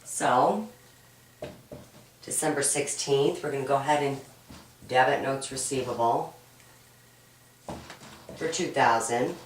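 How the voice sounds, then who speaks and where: A woman teaching an accounting homework walkthrough, hoarse and raspy from a cold, patient and step-by-step, often checking in with "right?". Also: extremely low pitch, slow pace, pauses often, a little hiss or hum. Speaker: accounting instructor. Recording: roomy echo, mic at a distance.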